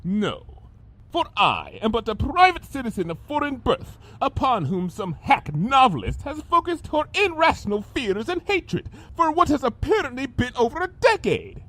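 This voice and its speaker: High pitched vengeful monster baddie, super melodramatic and pompous, pitch goes all over the place. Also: male voice, wildly swooping pitch, normal speed, fairly bright tone, heavily acted. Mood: smug, indignant. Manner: pompous, florid, melodramatic diction. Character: theatrical vengeful male monster villain, grandiose and menacing. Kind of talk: dramatized fan fiction audio reading.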